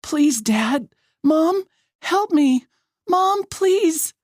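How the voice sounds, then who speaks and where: A woman's voice: high voice, lively intonation, extremely slow, darkish tone, no echo, studio quality, pitched above the performer's own voice, exaggerated voice. A woman voicing a desperate young mother in an audiobook, begging and pleading for her baby son. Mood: terrified, begging.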